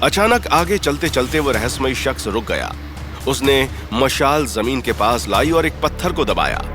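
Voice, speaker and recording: A man's voice: medium pitch, big pitch swings, unhurried. A man giving dramatic scripted narration of a story. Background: background music.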